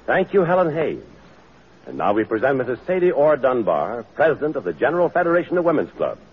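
A male announcer from old-time radio, formal and polished. He talks quickly with a very lively pitch, through a muffled broadcast recording. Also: slightly rough. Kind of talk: 1940s scripted radio announcing.

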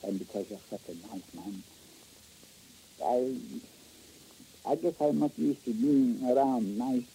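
A man's voice: mid-range voice, unhurried, warm tone, slight background noise, close to an everyday voice. An actor playing an oily, greedy male local guide, stammering and flowery, in an old radio drama. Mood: confessing. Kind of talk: 1950s radio drama acting. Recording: muffled old radio broadcast.

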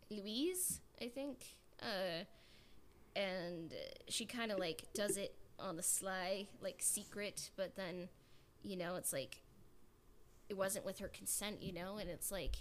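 A woman chats casually as a podcast host at an ordinary pitch. She rambles loosely, leaning on filler words like "like" and "you know". There is noticeable room noise behind her. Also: slow. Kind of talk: casual chat.

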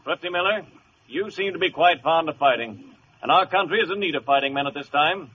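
An actor playing a stern American courtroom judge in a 1940s radio comedy, speaking in formal, commanding pronouncements. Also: male voice, fairly high pitch, fairly quick, dull audio, close to an everyday voice. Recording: very noisy, thin 1940s radio recording.

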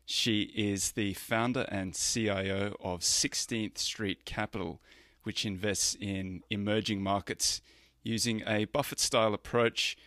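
A man hosting a podcast, introducing his guest and asking brisk, direct questions. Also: lowish voice, a bit bright, no room sound. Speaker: podcast host. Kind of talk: podcast interview.

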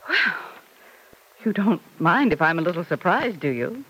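A woman playing a fond, slightly fussy middle-aged mother in an old-time radio soap opera, gentle and expressive. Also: on the low side, normal speed, slightly rough, exaggerated voice, poor audio. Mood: reassuring, probing. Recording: vintage radio recording.

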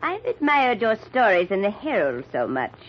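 An actress playing a cool, scheming middle-aged matriarch in an old-time radio mystery, haughty and controlled in manner. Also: female voice, mid-range voice, huge pitch swings, normal speed, muffled recording. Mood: composed. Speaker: radio drama actor.

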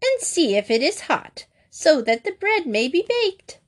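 A woman voicing a wicked, greedy old witch who talks in a slow, gloating way. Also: high voice, sing-song, voice pushed up. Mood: commanding.

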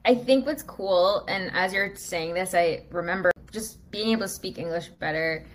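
A woman's voice: on the low side, normal speed. Casting: friendly podcast host, woman, conversational and relatable, faint hiss behind. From USA. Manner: chatty, laughs easily, relates personally. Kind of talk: casual interview chat.

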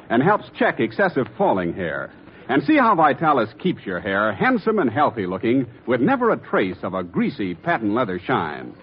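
Emphatic, swooping 1940s American radio announcer. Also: male voice, mid-range voice, slight background noise. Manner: emphatic, polished salesmanship. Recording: muffled vintage radio broadcast recording.

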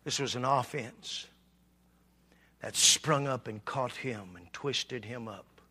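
A man preaching a sermon, swinging from quiet talk to loud, shouted passages. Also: medium pitch, slow pace, slightly rough. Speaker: pastor.

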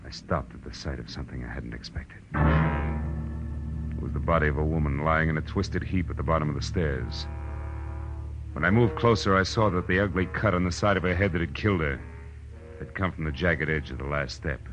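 Very deep, wry hard-boiled private eye. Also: male voice, moderate pace, fairly bright tone. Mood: brooding, wry narration. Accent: American. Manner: wry, clipped, sardonic. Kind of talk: 1940s radio noir acting, first-person narration and dialogue. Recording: dull vintage radio recording with music.

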